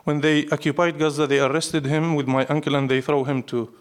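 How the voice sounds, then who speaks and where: A man speaks in a somewhat high-set voice with a Syrian Arabic accent, his native language being Arabic. He is a poet being interviewed, reflective and declarative. He builds his points in short, repeated, emphatic phrases. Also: flat delivery, brisk, clean tone. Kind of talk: live interview, reflective storytelling.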